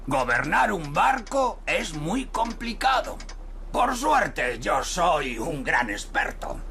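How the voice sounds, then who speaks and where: An actor with a Spanish accent from Spain playing a proud, blustering old grandpa pig boat captain in a children's cartoon. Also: male voice, a little high, sing-song, takes it slowly, vocal fry, a loud crowd, full character voice. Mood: boastful.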